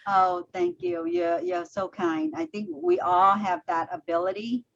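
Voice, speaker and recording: Lively woman, gravelly edge. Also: lowish voice, unhurried. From Vietnam. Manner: thoughtful, frequent fillers. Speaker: host. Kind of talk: conversational interview. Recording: dull lo-fi audio with faint noise.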